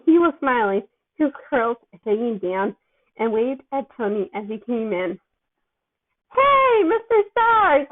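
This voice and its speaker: A woman narrating a story expressively as an amateur reader. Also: on the high side. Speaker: amateur fan fiction reader. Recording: thin, phone-like narrow-band sound.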